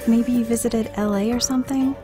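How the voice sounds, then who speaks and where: A woman's voice: on the high side, fairly even intonation, brisk, lightly acted. A woman playing an earnest, troubled TV star in an amateur audio play, hesitant and uneasy. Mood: uneasy, confused. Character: uneasy, bewildered TV star woman in surreal drama. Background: music.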